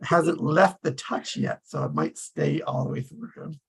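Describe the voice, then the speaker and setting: A man with a somewhat high, husky voice hosts a casual group discussion. He rambles along informally and with animation, like someone chatting among friends. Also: wildly swooping pitch, a little slow, faint music behind. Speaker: host of a table read group.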